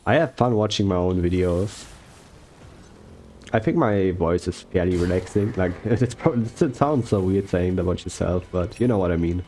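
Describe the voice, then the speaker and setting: Young male gaming commentator, mellow tone, slow soft-spoken rambling delivery. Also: low-pitched, a little hiss or hum. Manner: rambling with fillers and self-corrections. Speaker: gaming YouTuber. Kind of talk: relaxed gameplay commentary.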